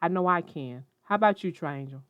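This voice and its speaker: A woman's voice: on the low side, a little fast, a bit bright, smooth, dry recording, dull audio. A woman host narrating a children's storybook as a read-aloud. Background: music, plucked guitar.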